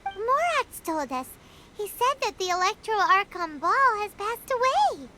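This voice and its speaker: A woman voicing a tiny, excitable female fairy companion in a game, who talks about herself in the third person. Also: extremely high pitch, measured pace, background noise, heavily acted. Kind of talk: game dialogue. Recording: game audio with loud music.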